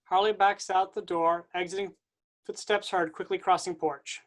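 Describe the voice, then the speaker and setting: A reader voicing the stage directions of a staged play reading in a plain, even way. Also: mid-range voice, moderate pace.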